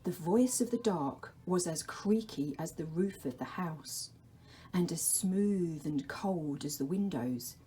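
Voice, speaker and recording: Female primary teacher for story time. Mellow, relaxed tone, very measured and gentle read-aloud. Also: fairly low pitch, slight background noise.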